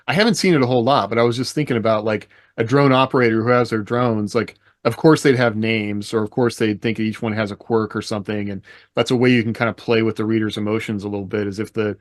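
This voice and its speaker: A man's voice: lowish voice, dry recording, clean studio sound. A man hosting a casual podcast discussion, talking informally in loosely strung thoughts peppered with "like". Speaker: podcast host.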